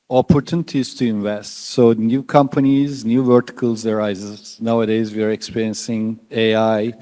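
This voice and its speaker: A man's voice: medium pitch, dark tone, big-room sound. A man on an investor panel, explaining his fund's strategy earnestly with slightly non-native phrasing. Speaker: fund manager panelist. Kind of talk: panel discussion.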